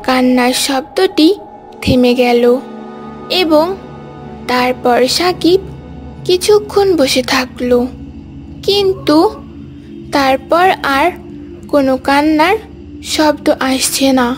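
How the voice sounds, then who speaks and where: A woman's voice: high voice, takes it slowly, long pauses, soft background music. A woman narrating a scripted ghost story in a dramatic storytelling manner.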